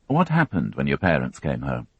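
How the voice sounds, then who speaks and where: A man voicing a gentle male police detective, asking questions in a calm, steady way. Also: very deep voice, normal speed, dry recording, muffled recording, heavily acted.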